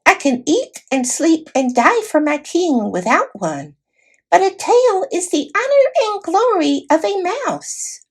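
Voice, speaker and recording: A woman voicing a tiny, proud male warrior mouse from a storybook, shrill and pompously courtly. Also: sing-song, takes it slowly, full character voice. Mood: proud, indignant.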